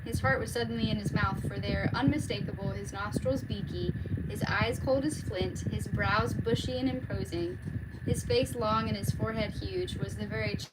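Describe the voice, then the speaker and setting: A woman's voice: mid-range voice, normal speed, heavy background noise. A woman reading a storybook aloud as narrator. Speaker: reader, streamer.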